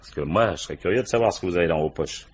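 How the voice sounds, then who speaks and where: An actor playing a gruff, paternal, world-weary police commissioner in a French radio crime drama, muttering colloquially with dry irony. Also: male voice, deep voice, very fast. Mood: ironic, probing. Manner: colloquial, muttering, dryly ironic.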